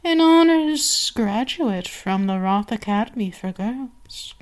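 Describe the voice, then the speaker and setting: Vampire queen played by a woman: seductive and domineering ASMR mistress, higher-pitched, extremely unhurried delivery with savoring pauses. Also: lively intonation. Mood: conspiratorial, measured. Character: commanding seductive vampire queen addressing her enslaved servant. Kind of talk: ASMR roleplay, scripted monologue.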